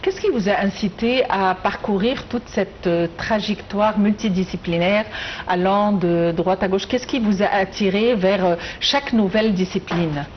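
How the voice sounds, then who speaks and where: A woman hosts a television interview and puts her questions in a measured, formal tone. Her voice comes through slightly muffled, with a faint hiss in the background. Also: medium pitch. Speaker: TV host.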